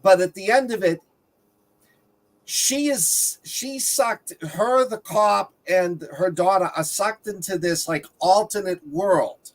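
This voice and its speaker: A man's voice: high-pitched, slow, low-quality recording. A man chatting casually and explaining things as a panelist in a film discussion. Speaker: podcast panelist discussing films.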